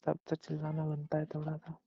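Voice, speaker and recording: A young man with a gravelly voice, chatting casually and intimately with his partner on a phone call. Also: a little high, monotone, a little fast, no room sound. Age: young adult. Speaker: boyfriend. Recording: muffled lo-fi phone call recording.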